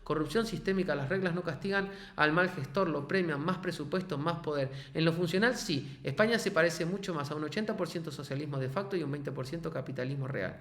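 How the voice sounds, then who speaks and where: A man's synthetic assistant voice giving a structured answer in even, declarative lists. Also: fairly high pitch, fairly quick, hardly any pauses, echoey room. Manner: even, declarative list delivery.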